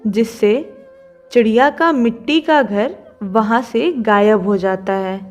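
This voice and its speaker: Female narrator for children's tales: somewhat high voice, very measured pace, light music bed. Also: darkish tone. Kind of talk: scripted children's story narration.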